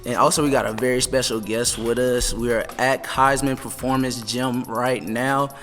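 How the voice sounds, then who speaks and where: A man's voice: medium pitch, fairly even intonation, normal speed, fairly bright tone, big-room sound, soft background music. A man hosting a casual podcast chat in a gym, talking in a loose, laid-back way. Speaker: podcast host.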